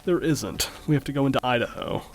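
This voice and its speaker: An actor playing a gruff, weary male searcher with a gravelly voice. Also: medium pitch, expressive, fast talker, close to an everyday voice. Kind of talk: audio drama acting.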